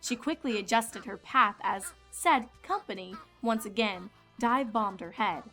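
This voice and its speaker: Female narrator, scripted story reading, mid-range pitch, faint music behind. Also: a little slow, pushed and bright.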